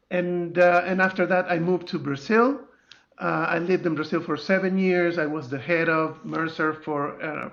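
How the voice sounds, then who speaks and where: A man's voice: fairly high pitch, highs cut off. A middle-aged man from Venezuela with a Venezuelan Spanish accent, chatting casually as an interview guest. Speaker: podcast guest, compensation and benefits consultant.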